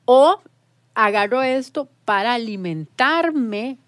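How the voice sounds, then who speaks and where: A woman, a TV presenter talking reflectively in a casual panel chat and slowing down to stress her points. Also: fairly high pitch, expressive, no room sound, studio quality. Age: 40s. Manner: reflective, emphatic, slows down on key points.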